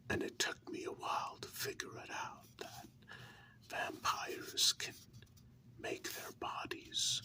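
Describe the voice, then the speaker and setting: A performer whispering an ASMR role-play as a tender, candid human lover of a vampire, intimate and halting, in a reassuring way. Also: bright, forceful tone.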